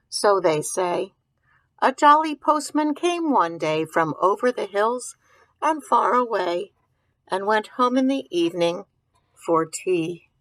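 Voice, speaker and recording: Female storyteller for young children, slow delivery, informal asides and simple questions, dry recording. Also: medium pitch, a bit bright, no echo, rough recording. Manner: questioning, musing aloud, explaining simply. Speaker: storyteller reading a picture book to children. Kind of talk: read-aloud with informal commentary to young children.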